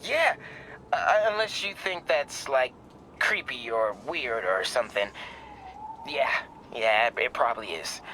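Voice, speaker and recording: A man's voice: mid-range voice, animated, a little slow, sharp tone, slight background noise. An actor playing a nervous, eager teenage boy in a video game, hesitant and rambling, on a phone call. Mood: embarrassed. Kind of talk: video game cutscene acting.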